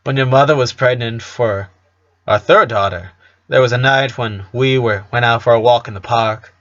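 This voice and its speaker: Man reading as bright-voiced, fond storytelling dad. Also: medium pitch, dull audio. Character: warm, nostalgic, joking middle-aged father telling family stories. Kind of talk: cartoon fan fiction reading.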